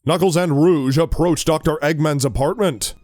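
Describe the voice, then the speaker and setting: A man's voice: on the high side, normal speed, darkish tone, no echo, studio quality. A man reading scripted narration for an audio drama.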